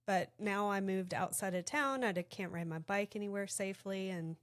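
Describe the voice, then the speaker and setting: A woman in her fifties talks reflectively on a podcast. Her voice is mid-pitched and sounds dry and close, with no room echo. She shares stories in an easy, casual way. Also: normal speed. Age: 50s. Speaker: podcast co-host. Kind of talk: casual chat.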